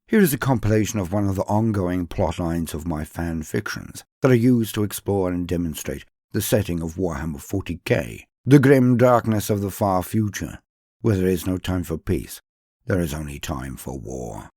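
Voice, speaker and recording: A man narrating scripted stories in a dramatic, solemn and measured way, with emphatic swells and falling sentence endings. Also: low voice, animated, warm tone, dry recording, studio quality. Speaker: narrator and host of a fan fiction lore channel. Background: music.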